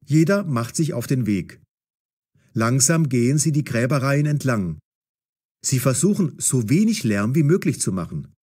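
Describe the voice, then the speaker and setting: Casting: male story narrator, mid-pitched, lively melody, carefully enunciated. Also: moderate pace, breathy edge.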